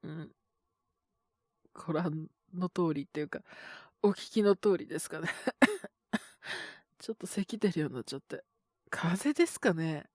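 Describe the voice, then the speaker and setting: An earnest young male junior coworker, acted by a man, speaks slowly in a close, dry recording. He is ill with a cold, coughing and sighing, and stays polite and humble, as in an intimate voice drama. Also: fairly high pitch, big pitch swings, dry recording. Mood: polite, grateful. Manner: polite and deferential on the phone, sighing, murmuring to himself. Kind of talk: Japanese situation voice drama, intimate solo acting.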